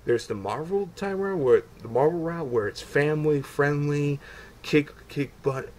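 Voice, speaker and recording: A man's voice: fairly high pitch, measured pace, a little mellow. A man in a casual fan discussion, stumbling over and repeating his words and swearing now and then. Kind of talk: casual fan chat about comic book films. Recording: noticeable background noise, amateur recording.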